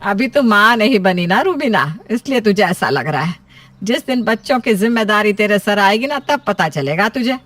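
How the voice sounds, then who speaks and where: A woman's voice: medium pitch, expressive, normal speed, a bit bright, no room sound. A woman playing an anxious, scolding middle-aged mother in story dialogue, fretful and complaining.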